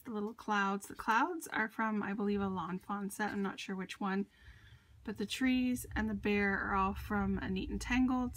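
A woman's voice: mid-range voice, slight background noise. Congested woman chatting about her crafts. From United States. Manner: chatty, with fillers and small laughs. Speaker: card-making crafter. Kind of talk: casual craft show-and-tell. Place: home craft desk.

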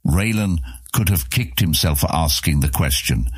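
A man narrating a fantasy audiobook in a measured storytelling manner. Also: very deep voice, animated, breathy edge, no echo.

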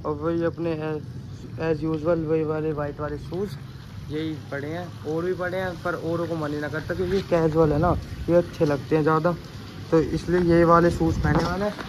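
A man's voice: on the high side, flat delivery, moderate pace. A man, narrating a casual vlog into a phone held at arm's length. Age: 20s. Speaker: vlogger. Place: family home yard and busy shopping mall. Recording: noisy handheld phone audio with crowd. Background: crowd chatter and loud ambient noise.

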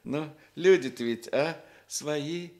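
An actor playing a small, sly, ingratiating shoemaker in a radio play, unctuous and hesitant, with little chuckles. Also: male voice, on the high side, slow pace, a little mellow. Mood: ingratiating, coy. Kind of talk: Soviet radio play acting.

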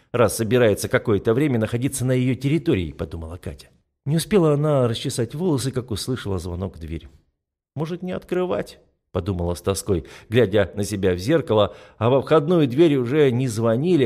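A man's voice: fairly low pitch, a little mellow. A man reading fiction as a scripted audiobook narration.

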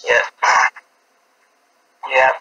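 A young man hosting his own vlog and chatting on a video call, his voice scratchy from a sore throat, halting through lots of fillers and small chuckles. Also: bright, forceful tone. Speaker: vlog host and open mic poet. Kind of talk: rambling casual chat. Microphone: laptop webcam mic.

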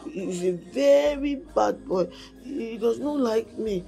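A woman's voice: fairly high pitch, expressive, slow pace, gravelly, dark tone, faint music behind, heavily acted. An actress playing a mentally disturbed, childlike young woman with a Nigerian accent and a repetitive, rambling way of talking, in a film drama.

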